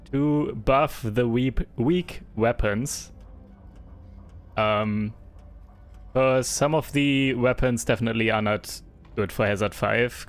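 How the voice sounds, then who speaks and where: A young German-accented man talks through a live game stream in a loose, chatty way. He reads viewer messages aloud and answers them with frequent ums and restarts. His voice sits at an ordinary middle pitch. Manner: chatty, reads and answers chat, hesitant fillers. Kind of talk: casual live-stream commentary.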